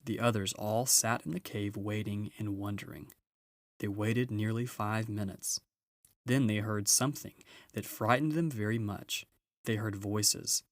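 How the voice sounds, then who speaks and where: A man's voice: fairly low pitch, steady tone, measured pace, no echo, studio quality. A man narrating a children's storybook aloud as an audiobook reader.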